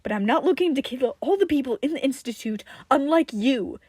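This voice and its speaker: A woman voicing an angry, sarcastic man in a story, forceful and scoffing. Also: high voice, expressive, brisk, slightly rough, dry recording, studio quality, pitched above the performer's own voice. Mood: bitter anger. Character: angry, sarcastic, scornful man lashing out at colleagues.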